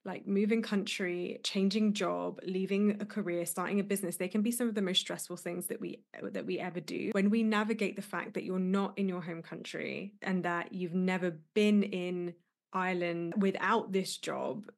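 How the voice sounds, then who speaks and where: A woman hosts a podcast, interviewing her guest in a slightly low voice. She reflects at length and speaks in an encouraging, supportive way. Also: steady tone, moderate pace, creaky. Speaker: podcast host, coach.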